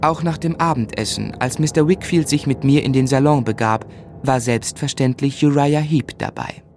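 An actor in a German radio drama playing an earnest gentleman who narrates his own story in measured, literary phrases. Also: mid-range voice, faint music behind, natural voice. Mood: grave, observant.

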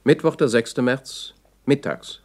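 A man's voice: mid-range voice, takes it slowly, darkish tone. A man making terse, formal scripted announcements as a second narrator in a 1960s radio play. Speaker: announcer of dates and times.

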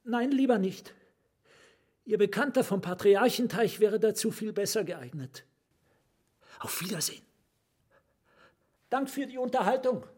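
An actor playing a melancholy asylum patient in a radio drama, confiding in short, broken, wistful phrases. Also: male voice, sits high, expressive, a little slow, long pauses, a little mellow. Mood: polite, withdrawing.

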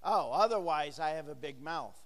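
A man's voice: fairly high pitch, animated, normal speed, big-room sound. A middle-aged man preaching a sermon as pastor, conversational and joking with the congregation, full of rhetorical questions, on a microphone in a church. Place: church sanctuary. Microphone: handheld or lapel microphone, recorded for a booth.

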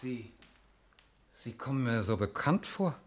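An actor in a 1960s German radio play, playing a reserved, wary nephew who speaks in terse questions. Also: male voice, medium pitch, lively intonation, measured pace. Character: reserved, wary adopted nephew arriving at mountain chalet.

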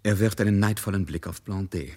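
Deep voiced guy narrating a radio play, husky, measured, dry irony. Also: warm tone. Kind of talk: scripted literary radio drama narration.

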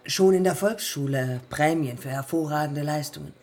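An actress playing a proud, determined woman of early aviation in a radio play, speaking husky and creaky in terse, deliberate phrases. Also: sits low, a little mellow. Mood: resolute. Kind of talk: radio play monologue and dialogue.

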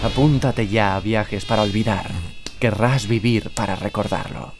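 A man acting a slick, teasing pitchman in a parody travel advert, enticing like a salesman. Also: fairly even intonation, unhurried, natural voice. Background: loud music.

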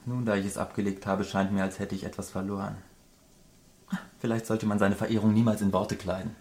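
An actor in a German radio drama playing an earnest, adoring painter who is troubled and wistful and speaks in formal, confessional phrases. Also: male voice, lowish voice, flat delivery, brisk, a little mellow, close to an everyday voice. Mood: wistful, resigned.

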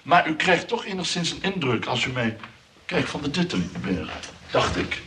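An actor in a comic radio play voicing a lonely, fussy shopkeeper who rambles hesitantly and repeats himself. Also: male voice, fairly high pitch, big pitch swings, normal speed. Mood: eager, flustered. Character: lonely, fussy shopkeeper shyly courting a nurse. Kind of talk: comic radio drama acting. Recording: noisy old radio play recording.

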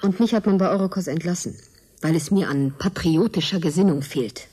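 A woman's voice: lowish voice, brisk, dark tone, husky. An actress playing a guarded, serious young woman in a German radio drama. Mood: sober, worried.

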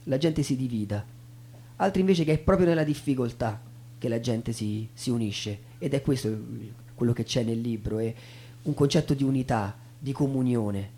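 A man's voice: mid-range voice, normal speed. A man narrating in a theatrical spoken storytelling style, as in a stage show. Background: soft guitar music.

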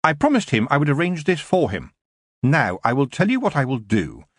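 A man voicing a clever young girl witch who speaks crisply and firmly, with a husky voice. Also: medium pitch, huge pitch swings, moderate pace, no room sound, clean studio sound, pitched above the performer's own voice. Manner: crisp, sweetly firm.

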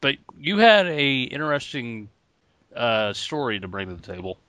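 A man's voice: medium pitch, sing-song, moderate pace, sharp tone, no echo. A man co-hosting a podcast in casual joking banter, laughing often. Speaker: podcast co-host. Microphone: podcast mic.

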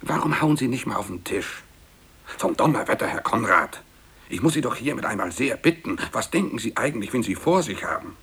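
A man's voice: medium pitch, lively intonation, fairly quick, fairly bright tone, slightly rough, heavily acted. A man acting a naive, well-meaning young office colleague in a 1950s German radio play, earnest and a little hesitant. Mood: mock indignant. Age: young adult.

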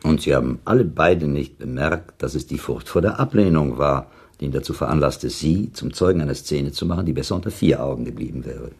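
Cynical nosy listener in a radio play, super deep raspy voice, dry and worldly. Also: male voice, fairly quick, hardly any pauses, darkish tone, heavily acted. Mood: probing. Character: shrewd, cynical, curious male listener interrupting the storyteller.

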